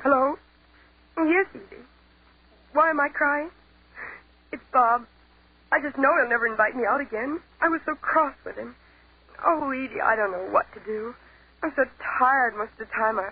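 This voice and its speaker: A woman's voice: high-pitched, normal speed, pauses often. An actress playing a young woman on the telephone in a 1940s radio commercial, plaintive in tone. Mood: tearful. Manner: plaintive, then chirpy. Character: tearful young woman on the phone in an ad. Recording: tinny old radio broadcast with hiss.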